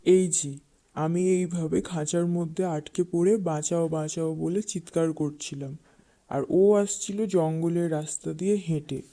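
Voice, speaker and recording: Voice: medium pitch, steady tone, measured pace, dark tone, natural voice. An actor voicing an ungrateful, hungry tiger in a children's cartoon fable, with a blunt, insistent way of talking. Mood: explaining, insistent. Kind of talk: children's animated fable dialogue.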